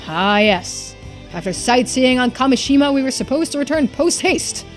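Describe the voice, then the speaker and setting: A woman voicing a courteous, knowing game character with a formal, measured way of talking. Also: sits high, lively intonation, soft background music, voice pushed up. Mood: polite. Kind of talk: voiced game dialogue.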